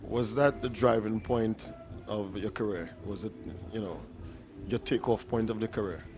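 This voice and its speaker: A man's voice: lowish voice, steady tone, moderate pace, background noise. Enthusiastic man hosting a music show. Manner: enthusiastic, rambling questions with fillers. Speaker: interview host. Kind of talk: casual interview questions.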